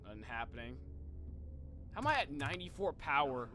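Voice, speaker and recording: A man's voice: mid-range voice, big pitch swings, a little fast, over loud music. A man doing casual live game commentary as a streamer, talking in slangy, reactive exclamations.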